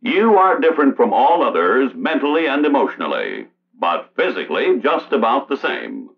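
An American man reading formal, measured narration for a 1960s instructional film. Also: mid-range voice, huge pitch swings, gravelly. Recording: dull vintage film soundtrack.

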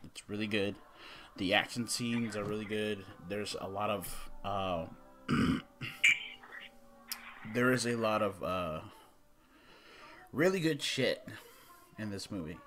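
A man in his thirties giving a casual, unscripted movie review as a vlog host, rambling and halting, with some mild swearing. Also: fairly low pitch, over music. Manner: rambling, halting, mildly profane. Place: small room indoors at home.

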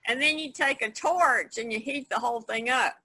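Bright-voiced woman chatting about craft. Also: a little high, moderate pace, husky, no echo, low-quality recording. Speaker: podcast participant, artist. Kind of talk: casual chat, explaining a technique. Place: online video conference call, indoors. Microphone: video call.

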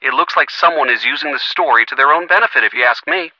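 An earnest young American boyfriend for a video game. His youthful voice sits a little high and is very bright, with a conversational, wry delivery. The sound is close and dry. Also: fast, dry recording, highs cut off. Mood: curious, thoughtful. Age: young adult. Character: earnest young boyfriend of a sleuth, gently sardonic. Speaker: voice actor. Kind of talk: video game phone-call dialogue.

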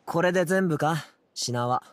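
A man playing a confident, easygoing young escort courier in a video game, with casual, brisk speech. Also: fairly high pitch, a little hiss or hum, close to an everyday voice. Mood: subdued. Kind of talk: Japanese video game voice acting.

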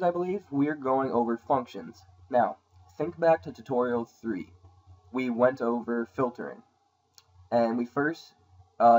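Man, coding tutorial host, casual, lots of ums, slightly sore throat, mid pitch. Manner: casual, thinking aloud with many ums. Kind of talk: screen-recorded coding tutorial. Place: at a computer, voice-over on screen capture.

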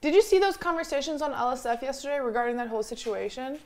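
A chatty young woman in her late twenties, streaming from her home kitchen. Her voice sits a little high, and she teases and swears her way through casual talk picked up by a room mic at a distance. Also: expressive, fast, darkish tone. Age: late 20s. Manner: chatty, teasing, swears freely. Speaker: streamer co-host. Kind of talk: casual live-stream chat.

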